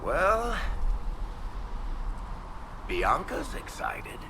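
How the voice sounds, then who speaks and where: A man's voice: a little high, huge pitch swings, drawn-out words, full character voice. A man playing a cocky, good-humoured rogue storyteller in a fantasy game, sardonic and breezy. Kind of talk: dramatic fantasy game acting. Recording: clearly noisy outdoor game ambience.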